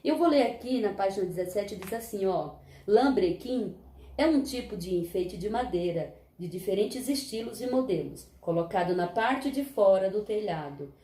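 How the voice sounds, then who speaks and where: Woman teaching children, mellow voice. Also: mid-range voice, lively intonation, moderate pace, roomy sound. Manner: patient, explanatory, asks the class rhetorical questions. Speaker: primary school teacher. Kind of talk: recorded history lesson for children.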